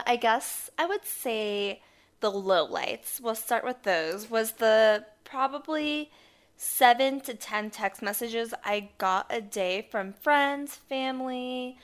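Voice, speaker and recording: Young woman, slightly raspy, a bit high-pitched, chatty podcast co-host, laughs a lot. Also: fairly bright tone. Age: 20s. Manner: chatty storyteller, laughs often. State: slightly hoarse, losing her voice. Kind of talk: casual chat.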